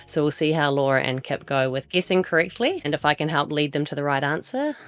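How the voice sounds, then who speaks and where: A woman from New Zealand with a Kiwi accent, hosting a marketing podcast in a measured, guiding way. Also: sits low, expressive, clean tone, no echo. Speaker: podcast host, marketing executive. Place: small office.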